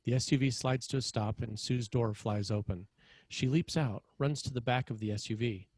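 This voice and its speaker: A man's voice: medium pitch, normal speed, darkish tone, no room sound. A man reading screenplay action lines as narrator at a table read. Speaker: screenwriter narrating stage directions.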